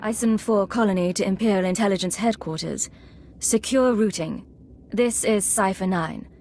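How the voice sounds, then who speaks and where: A woman's voice: medium pitch, moderate pace, a little mellow, slight background noise, natural voice. A woman playing a cool, resolute Imperial intelligence agent in a video game, speaking in a composed, clipped and stern way. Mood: businesslike. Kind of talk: scripted video game dialogue.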